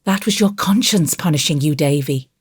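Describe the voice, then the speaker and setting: A woman voicing a gentle, grave young woman who patiently comforts and admonishes a child in an audiobook. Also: deep voice, animated, normal speed, breathy edge, dry recording. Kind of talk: audiobook character voice.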